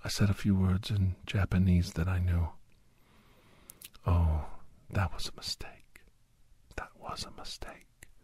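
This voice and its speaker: A man playing a grave, anxious doctor and old soldier telling a battle story in hushed, halting, breathy ASMR narration that often drops into whispers. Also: sits low, no room sound, exaggerated voice. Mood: grave, regretful. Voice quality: breathy, husky. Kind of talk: ASMR first-person dramatic story narration.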